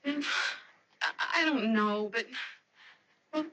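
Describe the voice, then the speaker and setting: An actress playing an anxious young fiancée in a radio mystery, hesitant, earnest and pleading. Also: female voice, a little high, slow, sharp tone, dull audio. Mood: uneasy, hesitant. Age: young adult. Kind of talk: radio mystery drama acting.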